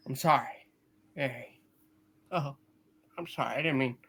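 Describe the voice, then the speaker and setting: A man playing a sharp-tempered but loving, weary father in a hammed-up live radio play reading. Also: medium pitch, measured pace, husky. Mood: apologetic. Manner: hammed-up, exasperated, stumbling reading.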